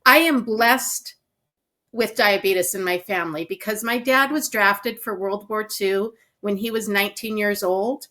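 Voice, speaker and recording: A middle-aged woman from North Dakota answers interview questions. She is a dietitian and author, and she tells her family story in a composed, steady manner. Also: medium pitch, unhurried. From North Dakota, USA.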